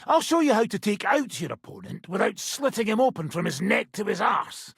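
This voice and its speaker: A boisterous British sellsword and combat trainer from a video game. His voice is very hoarse and raspy, pitched fairly high for a man, and swings wildly as he swaggers and teases. The recording is dry and close. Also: very animated, a little fast, fairly bright tone, no echo, heavily acted. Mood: instructing, cocky. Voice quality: gravelly, raspy. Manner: swaggering, rough, teasing. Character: boisterous hard-drinking male mercenary swordsman, cocky and crude. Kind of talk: video game character dialogue.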